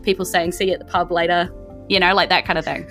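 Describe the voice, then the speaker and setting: A woman's voice: fairly low pitch, fairly even intonation, quick pace, fairly bright tone, faint music behind. A woman narrating a tabletop game as game master, descriptive and conversational.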